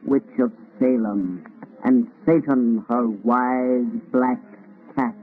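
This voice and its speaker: A man announcing in a solemn, measured vintage radio style. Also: medium pitch, fairly even intonation, slight background noise, close to an everyday voice. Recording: tinny old radio broadcast. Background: music.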